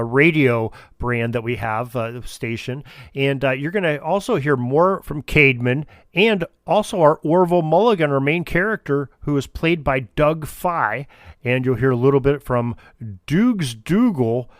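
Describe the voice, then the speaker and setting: A man's voice: mid-range voice, no room sound, clean studio sound. A man hosting a sports history podcast in a casual, conversational, enthusiastic way. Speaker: podcast host.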